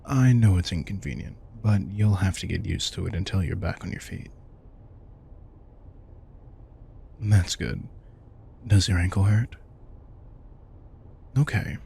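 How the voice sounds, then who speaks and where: Guy doing a protective vampire king for audio roleplay, deep voice, casual n tender, bit of background noise. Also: expressive, moderate pace, gravelly, pauses often. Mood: caring, casual. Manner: soft-spoken, casual, reassuring, occasionally swearing. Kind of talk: intimate audio roleplay, one-sided dialogue.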